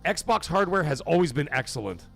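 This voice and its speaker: Guy hosting a gaming stream, reads super chats, gets loud and hyped then drops back down, slightly high voice, faint music behind. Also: normal speed, gravelly, slightly rough. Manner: excitable, swings loud for donation shout-outs; profane, emphatic opinions. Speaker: livestream host. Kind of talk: casual livestream chat reading super chats.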